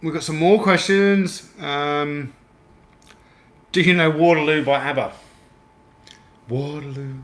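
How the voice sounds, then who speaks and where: A man's voice: on the high side, steady tone, takes it slowly, long pauses, a bit bright. A man from the UK hosting a casual livestream, chatting and reading out viewer comments. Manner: chatty, reads out viewer comments, laughs easily. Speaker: livestream host and toy auctioneer. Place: home room in Australia. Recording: echoey room.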